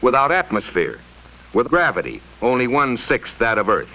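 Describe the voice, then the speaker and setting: A man reading scripted mid-century educational film narration in a formal, measured, explanatory way, on a vintage soundtrack. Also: medium pitch, wildly swooping pitch, bright, forceful tone, dull audio.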